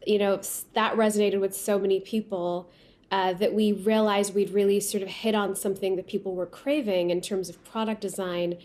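A woman's voice: mid-range voice, little pitch movement, moderate pace. Pakistan-raised woman founder, conversational. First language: Urdu. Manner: warm storytelling with frequent restarts. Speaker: guest, company founder. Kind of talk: remote interview conversation. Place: remote call from home.

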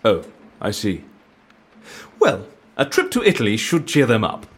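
An actor with a British accent playing a jovial, eccentric, inquisitive gentleman schemer in an audio drama, theatrical and playful. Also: fairly low pitch, huge pitch swings, unhurried, slightly rough, exaggerated voice. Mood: scheming, cheery.

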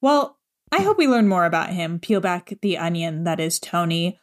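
A woman's voice: lowish voice, lively intonation, moderate pace. A woman co-hosting a casual discussion, steadily summarizing episode events. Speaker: podcast co-host. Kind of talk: casual recap of a show.

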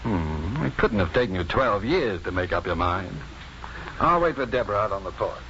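An actor playing an urbane, self-assured doctor in a 1950s radio drama, articulate, dry and probing. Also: male voice, lowish voice, big pitch swings, moderate pace, a bit bright. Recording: dull, noisy 1950s radio recording.

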